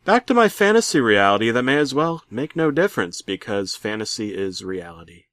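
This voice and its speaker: A man playing a bitter middle-aged working dad who narrates a comic horror story with theatrical, aggrieved deadpan. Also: mid-range voice, brisk, dry recording, studio quality. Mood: weary, resentful. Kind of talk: comedic creepypasta narration.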